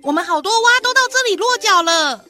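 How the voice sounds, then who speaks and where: A woman's voice: very high-pitched, animated, fast, bright, forceful tone, faint music behind, full character voice. A woman voicing a small, easygoing pond frog in a radio drama, cutesy and gossipy. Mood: curious, easygoing. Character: small, easygoing narrow-mouthed pond frog, idle onlooker.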